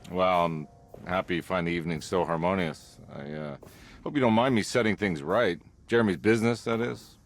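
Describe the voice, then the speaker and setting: An actor playing a weary, polite private detective in a horror game, low-key and hesitant in his delivery. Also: brisk, soft background music. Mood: dry, polite. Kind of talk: cinematic game dialogue acting.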